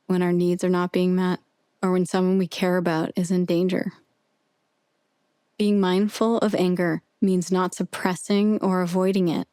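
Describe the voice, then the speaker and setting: A woman narrating a guided meditation with soothing, measured, gentle instruction. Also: fairly low pitch, clean tone, no room sound, clean studio sound.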